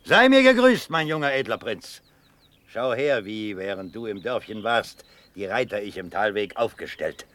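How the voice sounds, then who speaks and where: An actor playing a gruff, hearty old Prussian cavalry colonel in a declamatory, commanding radio drama style. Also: male voice, fairly low pitch, sing-song, moderate pace, fairly bright tone, no room sound. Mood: hearty, expansive. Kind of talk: German classical verse radio drama acting.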